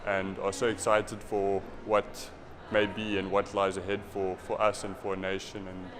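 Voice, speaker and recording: Low-voiced young man, reflective. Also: completely flat delivery, normal speed, crowd noise. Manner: reflective, hesitant. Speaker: young researcher. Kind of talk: event interview. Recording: noisy event recording.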